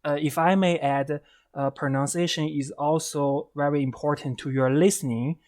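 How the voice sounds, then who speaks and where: A man from China with a Chinese accent, interviewing a guest in English, pausing often with fillers. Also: fairly high pitch, steady tone, a little mellow. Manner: hesitant, many uh fillers. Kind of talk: casual interview.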